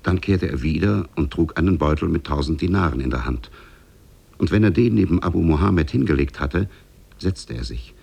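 Old radio narrator guy, super deep and gravelly, formal measured fairy tale reading, 1950s german style. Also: expressive, a little mellow.

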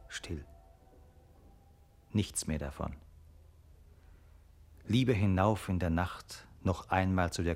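An actor as a grave, brooding narrator of a literary radio play, speaking solemnly and posing rhetorical questions. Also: male voice, rumbling low, darkish tone, full character voice.

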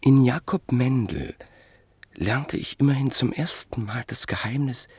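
Husky, hushed storyteller man. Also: medium pitch, no room sound. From Vienna, Austria. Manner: measured storytelling, often dropping to a hush. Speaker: narrator in a radio play. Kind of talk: 1950s radio drama acting.

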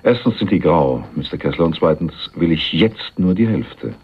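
A hard-boiled crook with a deep, husky voice and lively intonation. He is laconic and sardonic, a tough ex-soldier in a crime radio play, heard through narrow, phone-like sound. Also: moderate pace, exaggerated voice. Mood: sardonic, bargaining. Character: wry, tough male ex-soldier crook bargaining for money. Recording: narrow, muffled 1980s radio drama recording.

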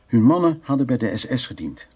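An actor playing a guarded, teasing man in a radio drama, speaking intimately and a little haltingly with a husky, gravelly voice. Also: mid-range voice, sing-song, mellow. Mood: tense recollection. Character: teasing, guarded man haunted by wartime flight. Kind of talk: naturalistic radio drama dialogue and recollection. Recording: radio play with faint music.

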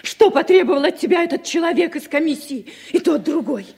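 An actress playing an anxious, insistent wife in a 1960s Soviet radio drama, earnest and pressing in manner. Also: female voice, high voice, animated, a little fast, vocal fry, darkish tone. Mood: urgent, demanding. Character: anxious, insistent woman confronting her husband.

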